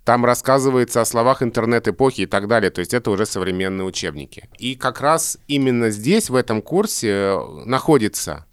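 A man co-hosting a podcast, explaining school language courses in a conversational way, with animated rises in pitch. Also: fairly quick, few pauses, clear, resonant tone, no room sound, clean studio sound. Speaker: podcast co-host, language expert.

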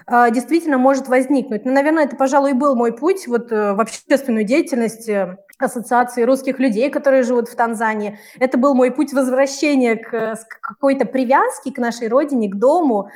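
A woman's voice: high-pitched, brisk, a little mellow. A woman from the far north, talking fluently as an interview guest and explaining things with little quoted examples. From Murmansk region, Russian far north. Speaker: interview guest, Russian language teacher living in Tanzania. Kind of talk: conversational interview answers, explaining and storytelling.

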